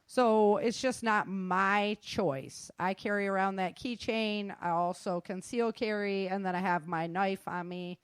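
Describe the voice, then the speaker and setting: A woman with a mid-pitched voice talks casually, as a guest passing on practical advice. She is plain-spoken and leans on her key points. The sound is dry, with very little room in it. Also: steady tone, measured pace, few pauses, clear, resonant tone, dry recording. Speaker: guest sharing self-defense advice.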